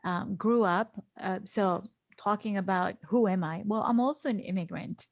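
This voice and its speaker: A woman's voice: mid-range voice, moderate pace, creaky, no echo, dull audio. A middle-aged woman from Guatemala telling her personal story as an interview guest, earnest and emphatic, repeating phrases for weight. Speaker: podcast guest, speaker and executive coach.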